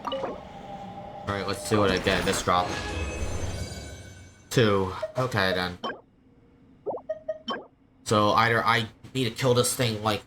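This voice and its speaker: A man's voice: on the low side, slow pace, pauses often, noisy background. A man streaming a video game, casually muttering and thinking aloud as he plays. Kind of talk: casual gameplay commentary. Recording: game music behind the voice. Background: video game music.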